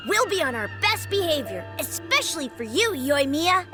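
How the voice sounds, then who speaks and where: A woman voicing eager little village children in a game, chirpy and excited. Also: high voice, normal speed. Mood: cheerful. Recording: game dialogue over loud music.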